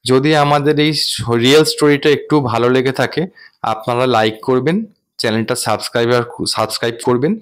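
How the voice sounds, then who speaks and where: Male vlogger, mid-pitched. Earnest, long-winded explanatory storytelling addressed to viewers. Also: steady tone, quick pace, clear, resonant tone. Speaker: vlogger and host. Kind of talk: recounting a personal ghost experience to viewers.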